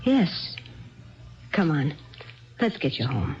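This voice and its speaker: An actress playing a composed, authoritative therapist in a 1970s radio drama, reassuring and measured. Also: female voice, low voice, very animated, dull audio, faint music behind, full character voice. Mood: reassuring, brisk. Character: calm, firm woman therapist taking charge.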